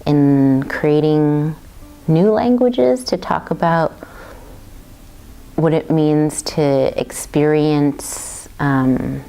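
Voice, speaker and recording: A woman artist from Taiwan talking about her work in a thoughtful, unhurried way, with many fillers and restarts. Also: deep voice, mellow, clear, resonant tone, low-quality recording. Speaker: visual artist.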